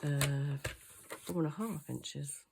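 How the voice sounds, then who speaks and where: A woman talks through a craft project while nursing a cold, so her voice comes out throaty, low and full of gravelly fry. She muses aloud as she works, pausing often with little ums as she decides what to do next. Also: background noise. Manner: thinks aloud, hesitant with many ums. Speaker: craft channel host. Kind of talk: chatty hands-on craft tutorial.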